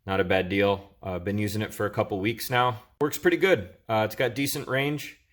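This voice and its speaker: A man's voice: on the low side, steady tone, normal speed, fairly bright tone. A man hosting an informal product review, talking in a casual, conversational way.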